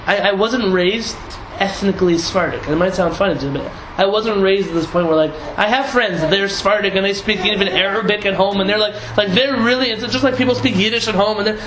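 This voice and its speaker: A young man from San Diego, a rabbi talking casually to a group, lively and laughing with many restarts and asides. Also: high voice, fast, hardly any pauses, crowd noise. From San Diego, United States. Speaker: rabbi teaching a class. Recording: echoey room, audible noise.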